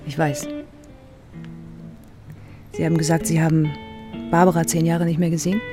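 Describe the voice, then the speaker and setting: A woman's voice: sits low, little pitch movement, normal speed, music in the background, natural voice. An actress playing a guarded, direct East German woman in a radio drama, understated, cool and clipped. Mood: challenging.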